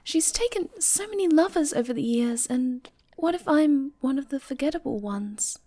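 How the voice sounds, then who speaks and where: Woman voicing a high, timid little moth girl. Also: animated, normal speed, dark tone. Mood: insecure, soft. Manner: timid, hesitant, stammering. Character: shy, anxious young moth girl, sweet. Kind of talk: ASMR roleplay acting.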